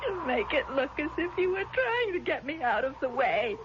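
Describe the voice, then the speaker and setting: A woman's voice: high voice, big pitch swings, moderate pace. An actress playing a desperate, guilt-stricken and scheming murderous woman in melodramatic, anguished 1970s radio drama style. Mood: hysterical, gloating. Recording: noisy, muffled vintage radio recording.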